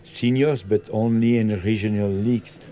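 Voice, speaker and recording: A man from Belgium, a football coach, answering interview questions in halting full of ums and loose grammar. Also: fairly low pitch, steady tone, mellow, a little hiss or hum.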